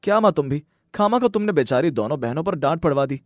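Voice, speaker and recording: An earnest young son from a cartoon moral story, played by a man, with a fairly high male voice in a dry recording. He talks directly and familiarly, protective of his family. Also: expressive, quick pace, no room sound, close to an everyday voice. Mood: scolding. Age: young adult. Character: earnest young working sons, protective of their mother. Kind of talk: scripted cartoon story dialogue.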